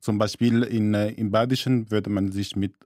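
A man's voice: on the low side, steady tone, moderate pace, a little mellow, no room sound, studio quality. A man from Syria, a radio guest, explaining things carefully with small slips in his German. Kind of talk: radio interview.

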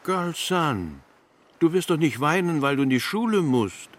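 An actor playing an officious, scornful school inspector in a children's radio drama, pompous and bureaucratic in manner. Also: male voice, fairly high pitch, very animated, measured pace. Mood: indignant, scornful.

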